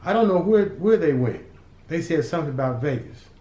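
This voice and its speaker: A man's voice: a little high, animated, a little fast, mellow, voice pushed up, natural voice. A man voicing a cocky, defiant city teenager who talks back to gangsters. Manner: mouthy, defiant, smart-alecky. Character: cocky streetwise teen boy from the city. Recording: roomy echo.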